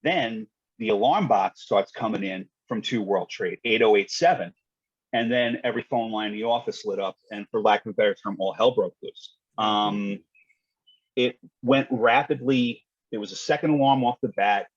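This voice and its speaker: Husky man telling stories, dull audio. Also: lowish voice, moderate pace, dry recording. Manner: matter-of-fact, colloquial, salty. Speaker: guest, retired fire dispatcher. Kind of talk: conversational podcast storytelling.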